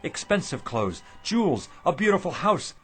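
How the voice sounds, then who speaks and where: Struggling shopkeeper husband, world-weary and emphatic, very animated pitch, radio drama over faint music. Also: male voice, moderate pace, slightly rough, heavily acted. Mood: excited, greedy.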